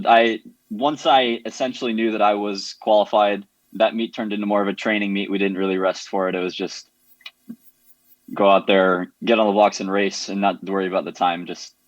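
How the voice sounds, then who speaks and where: A young man with an American accent, an Olympic swimmer giving relaxed, matter-of-fact interview answers. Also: on the low side, dry recording, lo-fi sound. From Jamaica, raised in the United States.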